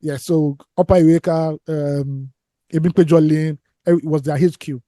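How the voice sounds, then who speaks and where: A man's voice: a little high, steady tone, normal speed, dark tone, dry recording, studio quality. A man, co-hosting a casual podcast, rambling and restarting, often trailing off to a mutter. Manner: discursive, restarts, drops to a mutter at phrase ends. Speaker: podcast co-host.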